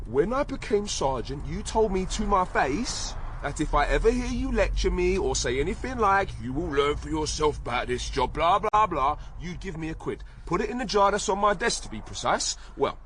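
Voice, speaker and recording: An actor playing a sceptical younger British police sergeant, dry, teasing and argumentative, in naturalistic radio drama dialogue. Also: male voice, high-pitched, big pitch swings, normal speed, hardly any pauses, fairly bright tone, slight background noise.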